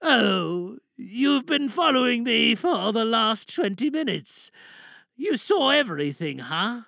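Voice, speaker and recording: Comic audio drama: performer as reckless, self-righteous driver, indignant and wheedling, loud high swings, sharp tone. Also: sing-song, slow, creaky, no room sound, clean studio sound, exaggerated voice. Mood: defensive. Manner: indignant, mock-pious, wheedling excuses. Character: frantic, self-righteous reckless driver lying to a police officer.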